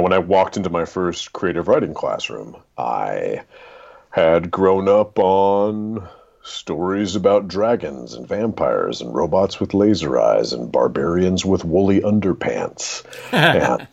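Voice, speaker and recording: A man telling anecdotes as a guest author in an interview, with a dramatic, deliberate storytelling manner. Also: deep voice, creaky, a little mellow, slightly rough, dull audio. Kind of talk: podcast interview, personal anecdotes.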